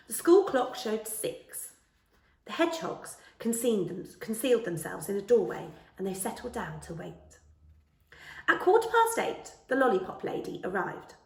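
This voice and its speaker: A woman in her thirties reads a children's story aloud with careful, dramatic expression. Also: medium pitch. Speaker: storyteller reading a children's book. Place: small living room, indoors. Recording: roomy indoor echo.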